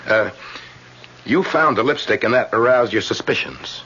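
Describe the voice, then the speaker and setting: An actor playing a confident, probing undercover police detective in an old-time radio drama, assured and deliberate. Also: male voice, mid-range voice, sharp tone, husky. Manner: deliberate, assured, with thoughtful hesitations. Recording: muffled, noisy old radio recording.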